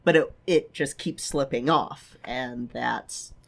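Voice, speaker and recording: A woman's voice: rumbling low, slow pace, vocal fry. A woman chatting casually and bluntly, with some swearing, as a podcast co-host. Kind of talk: casual chat.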